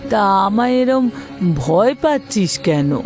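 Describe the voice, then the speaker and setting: An actor voicing an eerie, plaintive old aunt back from the dead in a cartoon, with drawn-out, complaining delivery. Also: medium pitch, sing-song, darkish tone. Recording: music clearly behind the voice.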